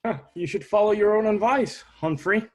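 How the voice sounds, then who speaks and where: High-pitched, earnest, caring stagehand played by a man. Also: moderate pace. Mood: dry, helpful. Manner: soothing, earnest, mildly scolding. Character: steady, caring, sensible male backstage troupe member.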